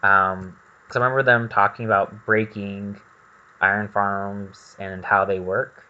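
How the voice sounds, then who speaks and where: A man's voice: on the low side, level tone, measured pace, dull audio. A man doing casual gameplay commentary, rambling and thinking aloud as he goes. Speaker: gaming video host.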